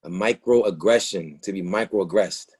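A man acting a hurt, frustrated young boyfriend in a naturalistic play reading, halting and repeating himself. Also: sits low, darkish tone, slightly rough. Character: hurt, frustrated young boyfriend confronting his girlfriend about racism. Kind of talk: readers theatre play reading, naturalistic dialogue.

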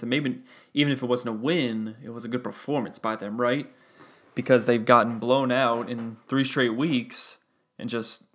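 A young man with a slightly gravelly voice hosts a solo sports podcast. He talks the game over in a loose, analytical way, thinking out loud and dropping in fillers as he goes. His pitch sits right in the middle range. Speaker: podcast host.

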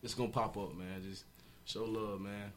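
A man, a guest rapper chatting casually in an interview, energetic and repeating himself, laughing often. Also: moderate pace. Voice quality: deep voice.

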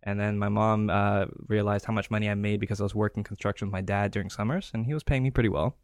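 A man's voice: lowish voice, steady tone, fast talker, dry recording, studio quality. A man, telling stories casually as a podcast guest. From Canada and Greece. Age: 30s. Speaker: podcast guest, real estate investor. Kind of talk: casual conversational storytelling.

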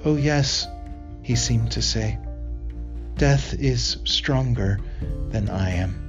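A man reading a literary essay aloud in a measured, deliberate way, pausing between phrases. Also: mid-range voice, muffled recording, music in the background.

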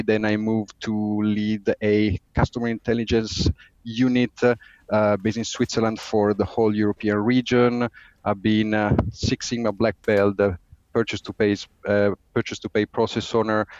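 A middle-aged man with an Italian accent, a finance executive giving a podcast interview, talking haltingly with many fillers and restarts. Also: on the low side, clean tone, dry recording, dull audio. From Italy.

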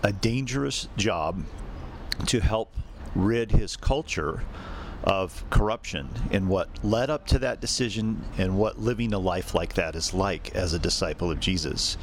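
A man hosting a podcast and interviewing guests, speaking conversationally in a measured, halting way with pauses. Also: sits low, a little hiss or hum. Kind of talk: conversational spoken introduction and interview.

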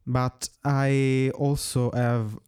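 Slow, smooth-voiced Italian man. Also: mid-range voice, level tone, darkish tone. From Italy. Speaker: musician guest. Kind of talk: prepared interview answers.

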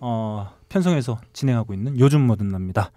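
A man's voice: lowish voice, brisk, warm tone, dry recording. Dry-sounding male music podcast host. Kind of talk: hosting banter.

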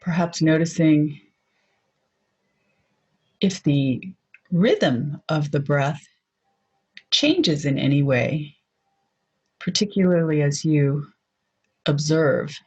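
A woman leading a guided meditation in a soft, unhurried, gently inviting way, on a dull lo-fi recording. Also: deep voice, expressive, slightly rough.

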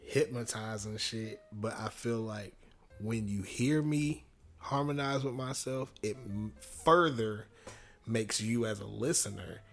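A young man with a deep voice, a rapper talking casually as a guest in an interview, slangy and quick to laugh. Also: gravelly, soft background music. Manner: laid-back slangy talk, laughs often, swears freely.

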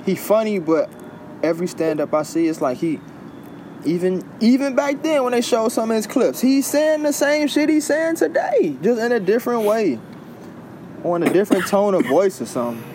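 Animated podcast dude, fairly high voice jumping around a lot, faint hiss. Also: male voice, moderate pace. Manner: animated, agreeable, echoes his co-host. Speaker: podcast co-host. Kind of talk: casual chat.

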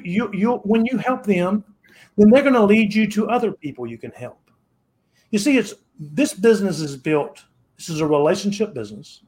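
A man in his sixties speaks as a podcast guest in a fairly high voice. He sounds preachy and repeats himself, often restarting his phrases as he hammers his point home. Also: a little mellow. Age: 60s. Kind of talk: motivational interview talk.

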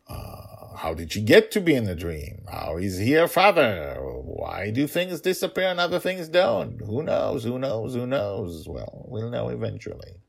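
A deep, husky male voice with big swings in pitch. He is a middle-aged podcast storyteller, emphatic and playful, and likes to repeat himself for effect. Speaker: storytelling podcast host and author. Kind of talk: animated podcast intro and story narration.

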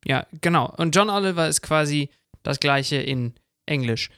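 A man's voice: fairly high pitch, normal speed, a bit bright, no room sound, clean studio sound. A man co-hosting a podcast in casual chat. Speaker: podcast co-host.

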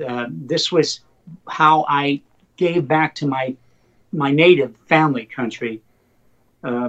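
An older man whose first language is Slovak, telling rambling stories as a poet being interviewed and getting animated in the anecdotes. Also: a little high, muffled recording. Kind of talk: conversational storytelling interview.

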